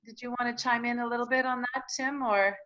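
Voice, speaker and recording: A woman hosts a discussion over a video call. She feels her way through sentences, pausing and rephrasing as she guides the group. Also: a little high, fairly even intonation, bright, forceful tone. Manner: hesitant, halting, thinking aloud. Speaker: host moderating an online panel.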